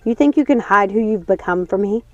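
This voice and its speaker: A woman's voice: mid-range voice, animated, fairly quick, darkish tone, no room sound, natural voice. A woman voicing a wounded, bitter witch in a storybook, accusing and aggrieved. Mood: bitter grievance. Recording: dull lo-fi sound, music underneath.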